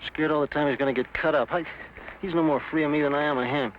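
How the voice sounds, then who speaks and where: A man's voice: on the high side, steady tone, fast, lightly acted. Man playing a touchy Western gambler, dull recording. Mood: bitter, intense. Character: touchy, defensive male Western gambler and card dealer. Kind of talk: Western drama acting. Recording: dull lo-fi recording, faint noise.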